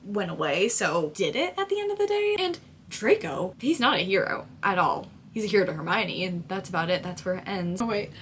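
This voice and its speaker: A woman's voice: medium pitch, big pitch swings, brisk, fairly bright tone, a little hiss or hum. A woman book vlogger chatting casually and effusively in an informal, emphatic way, with "like" sprinkled through her sentences. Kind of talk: casual chat, emotional book reaction vlog.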